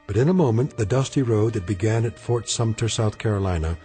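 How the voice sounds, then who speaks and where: A man narrating a radio drama in a solemn, grave and measured way. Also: fairly low pitch, warm tone, faint music behind.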